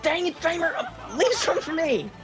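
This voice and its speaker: A woman's voice: extremely high pitch, sing-song, normal speed, faint music behind, some chatter behind. A woman playing a whiny young Appalachian boy, the kid brother, in a radio drama.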